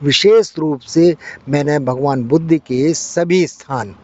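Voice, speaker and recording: A man's voice: on the high side, slow, darkish tone. A man giving impassioned interview answers as a monk, emphatic, with repetition and rhetorical questions. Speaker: Buddhist monk being interviewed. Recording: muffled audio with clear vehicle noise.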